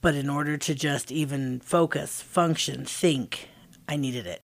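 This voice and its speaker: Low-voiced woman in her thirties. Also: steady tone, measured pace. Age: 30s. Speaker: interviewee. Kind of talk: personal testimony.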